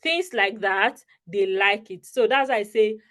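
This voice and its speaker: A woman's voice: medium pitch, unhurried, a bit bright. A young woman from Nigeria giving an online talk over slides on a video call, chatty and often checking in with her listeners. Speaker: scholarship advice presenter.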